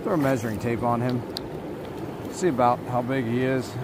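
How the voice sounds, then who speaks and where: Young man, fishing vlogger, upbeat chatty, rushing stream noise behind, action camera mic. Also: medium pitch, fairly even intonation. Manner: upbeat, talks to the fish and viewers, chuckles often. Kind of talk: casual running commentary while fishing. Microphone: action camera, chest or handheld. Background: rushing water.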